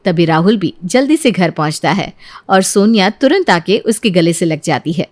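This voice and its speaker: A woman's voice: lowish voice, lively intonation, normal speed, a little mellow. A woman storyteller reading a scripted narration.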